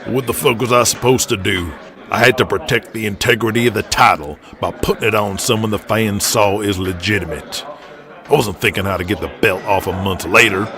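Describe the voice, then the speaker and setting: A man voicing a stubborn, gruff wrestling promoter in an audio drama, terse, folksy and blunt. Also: fairly low pitch, fairly quick, crowd noise, pitched below the performer's own voice. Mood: defensive.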